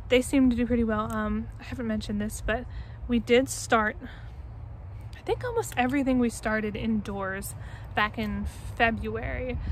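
A woman's voice: on the high side, slight background noise. A woman in her twenties with an American accent, narrating a casual, unscripted tour outdoors into a handheld camera. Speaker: vlogger giving a garden tour. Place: outdoors in a backyard garden. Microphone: handheld phone or camera at arm's length.

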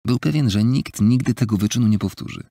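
A deep-voiced man narrates an audiobook in a measured, even way. His voice is gravelly and a little husky. The recording is dry and close, with no room around it. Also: no echo. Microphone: close studio mic, dry.

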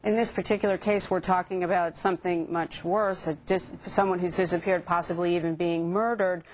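Female TV news host, thirties, lower register, live studio interviewing. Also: fairly even intonation, brisk, rough recording. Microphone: lapel mic.

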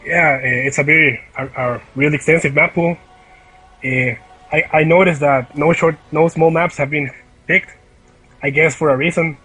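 A man from El Salvador with a Salvadoran Spanish accent, chatting casually as co-caster on a live stream and halting as he searches for words. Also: a little high, steady tone, bright, forceful tone, slightly rough, soft background music, poor audio. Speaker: esports co-caster. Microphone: online voice call.

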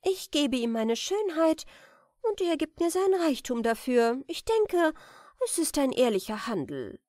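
A woman's voice: high-pitched, big pitch swings, measured pace, darkish tone, dry recording, studio quality, voice pushed up. A woman voicing a vain, mercenary young beauty in a fairy tale, with a haughty manner. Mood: smug, calculating. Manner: self-satisfied, haughty.

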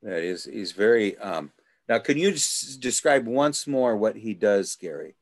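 Halting man leading a video-call study group. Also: on the low side, lively intonation, no echo. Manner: halting, many restarts and fillers. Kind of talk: casual group discussion.

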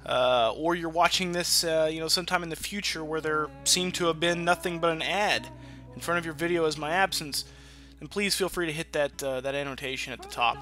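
A man with a fairly high, bright voice chats through a let's-play. He rambles informally and swears freely. Soft game music plays behind him. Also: brisk. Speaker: gaming channel host. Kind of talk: casual let's-play commentary.

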